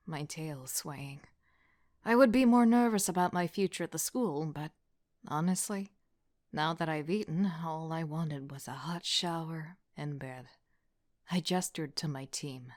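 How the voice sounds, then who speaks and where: A woman's voice: deep voice, measured pace, darkish tone. A woman playing a wry, casual young student team leader who narrates an audio drama in the first person with sardonic asides. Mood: wry, relaxed. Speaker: voice actor.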